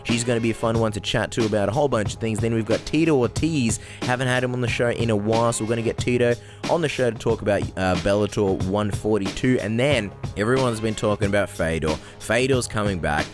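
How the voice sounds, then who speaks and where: A man's voice: lowish voice, quick pace, over music. A man co-hosting a combat sports podcast in casual chat, joking dryly and repeating phrases. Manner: dry joking, repeats himself. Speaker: podcast co-host.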